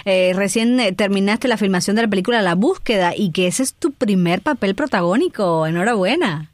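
A woman's voice: medium pitch, expressive, fairly quick, no room sound. A woman hosting a radio interview, asking polite, long and wordy questions. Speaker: radio host.

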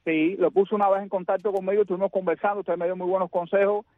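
A man's voice: sits high, flat delivery, quick pace. A man calling into a radio show, speaking hesitantly with many fillers and effusive thanks. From Cuba. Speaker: caller. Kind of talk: phone call to a radio show. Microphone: telephone. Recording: tinny phone line.

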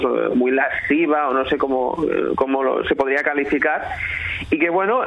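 A man with a Catalan Spanish accent, a guest commentator who presents a topic in a measured way, often pausing to search for the right word. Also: medium pitch, narrow, tinny audio. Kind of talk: casual commentary.

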